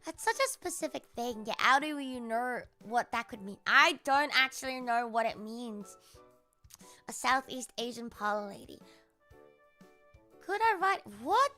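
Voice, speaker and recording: A young Australian woman talks loosely to her chat, and her rather high, bright voice swings widely in pitch. Her banter is sarcastic and full of swearing. Faint music plays underneath. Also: animated, measured pace. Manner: sarcastic, swears freely, laughs often. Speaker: live streamer. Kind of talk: casual live-stream chat, reading and answering comments.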